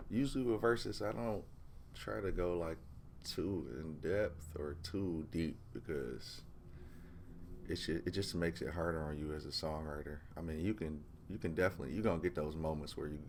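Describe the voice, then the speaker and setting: Very deep gravelly laid-back man. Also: a little hiss or hum. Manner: laid-back, rambling with many fillers like "you know" and "um". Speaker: music producer teaching vocal mixing. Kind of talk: casual screen-recorded tutorial.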